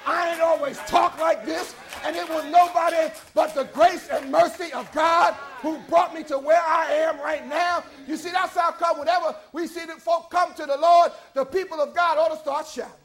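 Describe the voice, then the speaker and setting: A man with a strikingly high voice delivers a sermon. He builds in rhythmic waves, often shouting his lines out to the congregation. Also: steady tone, normal speed, hardly any pauses, a faint crowd. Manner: rising into shouted, rhythmic preaching. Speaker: preacher.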